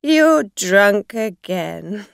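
A woman's voice: medium pitch, big pitch swings, very slow, gravelly, darkish tone, no echo, studio quality, heavily acted. A woman voicing a tipsy, frightened woman driver in an audio story, hesitant, stammering and pleading. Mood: tipsy, amused. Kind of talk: acted dialogue in an audio story.

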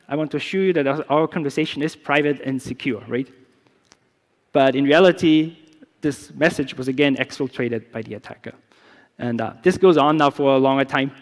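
A middle-aged man, a native German speaker, walks an audience through a live demo from a conference stage. He talks in a relaxed, explanatory way, restarting phrases often, with an average pitch. His voice carries a long reverb from the large hall. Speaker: security researcher.

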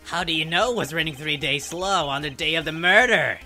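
A man's voice: a little high, a little fast, sharp tone, soft background music. A man voicing a sneering, indignant middle-aged witness in a video game fandub, with a gloating, whiny delivery. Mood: smug, taunting.

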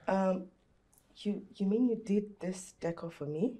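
A woman's voice: mid-range voice, unhurried, dark tone, natural voice. A woman playing an emotional young employee who exclaims and stumbles over her words. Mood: surprised. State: crying. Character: young female employee, emotional and easily moved. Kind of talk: amateur skit acting.

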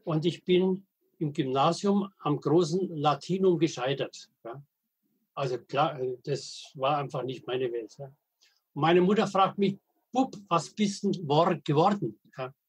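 An elderly man in his eighties with a husky, slightly high voice. He tells stories as a podcast guest, pausing often, restarting phrases, and slipping in dry jokes. His delivery is hesitant but steady. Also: rough recording. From Middle Franconia, Germany. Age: 80s. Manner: halting, frequent hesitations and restarts; dry humor, anecdotal. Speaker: guest, veteran wastewater engineer and editor. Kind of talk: podcast interview, storytelling.

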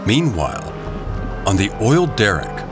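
A man narrates a scripted adventure story in a dramatic style. His voice is deep, and loud music plays beneath it. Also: big pitch swings, a little slow.

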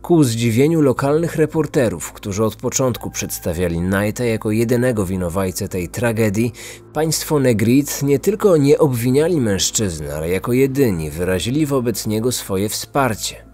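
A man's voice: medium pitch, lively intonation, normal speed, darkish tone. A man hosting a true-crime podcast, reading a scripted narration.

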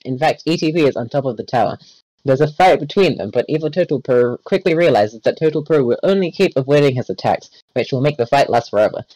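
A man's voice: mid-range voice, lively intonation, fast talker, a little mellow, dry recording, rough recording. A man reading a wiki page aloud in a group voice chat, stumbling and correcting himself as he goes. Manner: reads aloud with stumbles, self-corrections and laughs.

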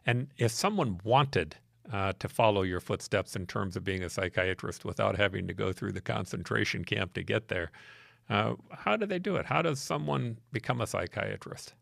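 An American man in his sixties with a low voice full of vocal fry hosts a podcast interview. He puts his questions in a measured, thoughtful way. The recording is dry and close-miked. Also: expressive, fairly bright tone, no echo. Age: 60s. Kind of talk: conversational studio interview. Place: small wood-panelled podcast studio. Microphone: close boom-arm studio microphone.

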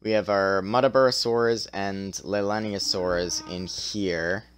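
A man's voice: lowish voice, fairly even intonation, slow pace, a bit bright, a little hiss or hum. Young man with a tired, strained voice. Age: young adult. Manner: chatty, self-deprecating, with small laughs. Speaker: game streamer. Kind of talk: casual gameplay commentary.

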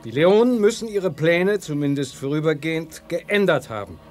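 An actor playing a calm, reasoning professor in a sci-fi audio drama, measured and explanatory. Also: male voice, on the high side, soft background music, lightly acted. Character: calm, reasoning male scientist professor aboard spaceship.

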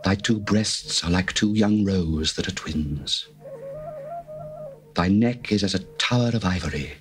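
A man's voice: deep voice, unhurried. A man voicing a solemn, adoring lover of old. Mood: tender. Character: adoring male lover speaking to his bride. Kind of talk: dramatized scripture reading. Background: faint music.

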